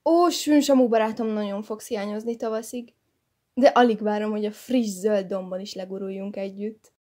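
A woman voicing a cheerful, lively girl in a children's story, speaking in a bright, friendly and eager way. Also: mid-range voice, close to an everyday voice. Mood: warm. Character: cheerful, lively girl who will not stay sad. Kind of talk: storybook character voice.

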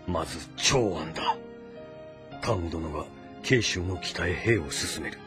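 A man's voice: low-pitched, music in the background. Composed, earnest male military strategist. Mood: brooding, resolute. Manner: formal, deliberate, earnest. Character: composed, calculating, loyal male strategist advising his lord. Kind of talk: dramatic voice acting in historical game.

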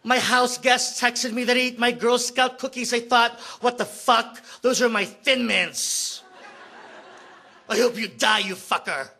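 A man in his thirties on a theater stage, performing spoken word at a stand mic, moving from a frantic comic rant to a hushed lyrical lament. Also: very high voice, steady tone, measured pace. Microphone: stand mic, far camera.

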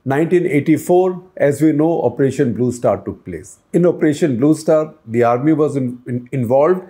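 A man's voice: fairly high pitch, animated, moderate pace, warm tone. An older man hosting a news explainer, talking to camera in a conversational way and posing questions to the viewer, recorded on a lapel mic in a book-lined office.

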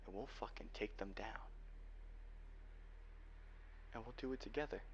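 A young man playing a guarded, protective cyberpunk hacker boyfriend in an ASMR roleplay, speaking earnestly and haltingly. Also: lowish voice, lightly acted. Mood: soft, reassuring. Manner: earnest, halting delivery with sighs. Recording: dull lo-fi home recording, faint noise.

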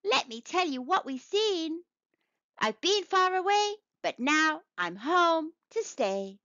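Woman acting Dorothy, a high-pitched earnest little girl. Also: sing-song, slow, bright, forceful tone, no echo, dull audio, full character voice. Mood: happy. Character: Dorothy, small earnest homesick storybook girl.

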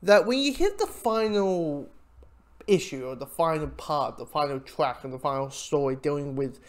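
A man giving an informal spoken review, talking in a rambling, halting way with frequent asides. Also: fairly high pitch, animated. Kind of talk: informal audio drama review commentary.

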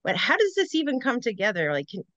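A woman's voice: fairly high pitch, wildly swooping pitch, fast, fairly bright tone, dry recording. Woman interviewer on a dull, dry video call. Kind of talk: casual interview chat. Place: room at home with shelves, indoors.

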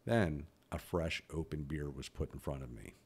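A man's voice: very deep voice, moderate pace, a little mellow, breathy edge. A man in his fifties who stutters, talking bluntly and candidly about his own life as a podcast host. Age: 50s. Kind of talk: personal reflective monologue.

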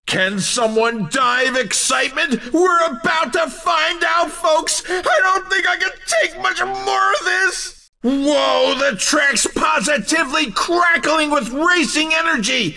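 A man voicing an over-excited, folksy race announcer in a video game, delivering exuberant showman patter. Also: very high-pitched, far from the mic, full character voice. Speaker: racing commentator.

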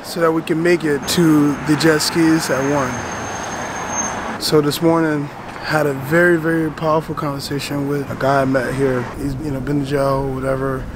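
A man's voice: fairly high pitch, normal speed. Man, 30s, vlogger; chatty and upbeat, camera at arm's length outdoors, noisy ambience. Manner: chatty, excitable, motivational. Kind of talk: casual vlog talk to camera. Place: outdoors at a house with a pool. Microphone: handheld camera at arm's length.

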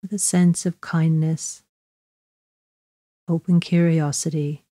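A woman leads a guided body scan meditation. Her voice sits low and is soft-edged and dark. She speaks slowly and gently, in measured instructions with long pauses, in a very dry recording. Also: flat delivery, no room sound.

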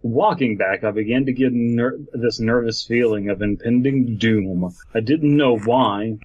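Slow-paced man reading with joking asides. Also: medium pitch, darkish tone, dry recording, lo-fi sound. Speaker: podcast host. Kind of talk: reading a horror story aloud with joking asides.

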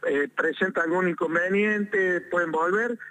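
A man's voice: high-pitched, measured pace, bright, forceful tone. A man with an Argentine accent, a mayor calling in to a talk show, making an insistent, aggrieved complaint and repeating points for emphasis, heard over a phone line. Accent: Argentine Spanish. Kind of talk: phone interview.

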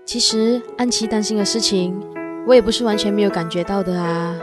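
A young woman with a Malaysian accent playing an excitable, feisty university graduate in a radio drama. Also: medium pitch, brisk, close to an everyday voice. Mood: pensive. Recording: music and crowd behind voice.

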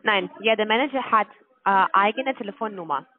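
A woman with a foreign accent in a phone conversation, speaking a second language haltingly as she searches for words. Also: medium pitch, dry recording. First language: not German. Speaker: call-centre finance department manager. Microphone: telephone line. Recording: tinny, narrow-band phone call recording.

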